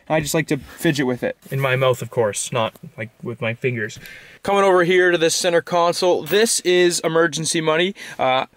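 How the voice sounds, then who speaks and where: A teenage young man from Canada giving a casual, rambling show-and-tell vlog from inside his parked pickup truck, filmed close on a handheld camera. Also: a little high. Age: teens. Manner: relaxed, rambling, with fillers and asides. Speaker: vlogger.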